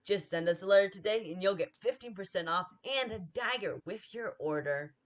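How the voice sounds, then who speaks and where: A woman's voice: medium pitch, fairly quick, voice pushed up, natural voice. A woman playing a cheerful salesy advertiser in a parody old-time radio commercial, on dull lo-fi audio. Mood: cheerfully salesy. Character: cheerful pitch-making assassin-for-hire advertiser.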